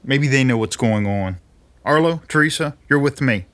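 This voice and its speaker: An actor playing a gruff, commanding male sergeant in an audio drama, blunt in manner. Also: mid-range voice, expressive, moderate pace, a bit bright, close to an everyday voice. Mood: suspicious, commanding.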